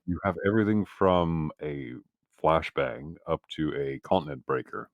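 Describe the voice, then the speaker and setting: A man's voice: a bass voice, normal speed, no room sound, highs cut off. A man chatting casually as a player in a tabletop game, with a blunt, wry, sweary manner.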